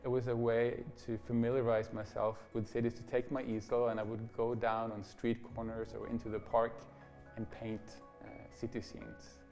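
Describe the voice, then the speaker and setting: A man from Norway recounts how he became a painter, in a calm, reflective way. His sentences often fade out softly at the ends. Faint music plays under his voice. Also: mid-range voice, normal speed. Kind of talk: interview monologue.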